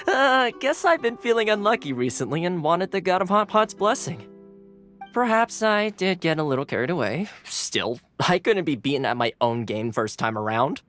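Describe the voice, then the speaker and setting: Lively, easygoing young retainer. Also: male voice, high-pitched, normal speed. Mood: breezy, proud. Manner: breezy, playful, expressive. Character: easygoing, cheerful young male retainer, playfully competitive. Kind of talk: animated video game voice acting. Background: background music.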